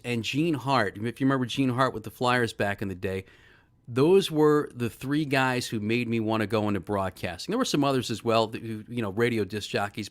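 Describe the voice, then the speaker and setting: Middle-aged male guest; lively storyteller, mid-range voice. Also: medium pitch. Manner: animated, excited storyteller. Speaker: guest, sports broadcaster. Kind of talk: casual interview storytelling.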